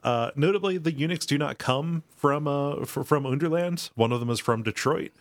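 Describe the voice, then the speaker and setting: A man with a deep voice co-hosting a casual podcast, wry and teasing in his banter. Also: moderate pace, dry recording. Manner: wry, teasing, laughs often. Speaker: podcast co-host. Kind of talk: casual chat, joking banter.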